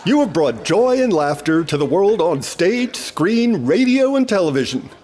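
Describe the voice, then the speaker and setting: An actor playing a grandiose, sentimental TV presenter with ceremonious, stretched emphasis in 1950s radio style, on a noisy recording. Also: male voice, high-pitched, big pitch swings, a little slow, darkish tone. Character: grandiose, sentimental male television show presenter.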